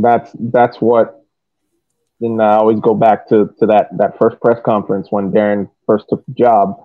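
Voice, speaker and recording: A man co-hosting a casual sports podcast, halting and restarting his phrases as he talks. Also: fairly low pitch, no echo. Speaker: podcast co-host. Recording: dry, thin phone-like audio.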